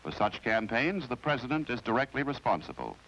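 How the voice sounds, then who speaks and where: A man with an American accent narrates a 1950s training film from a script in a formal, measured, explanatory way. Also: mid-range voice, sing-song, fairly bright tone, slight background noise, poor audio. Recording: vintage 1950s film soundtrack with music.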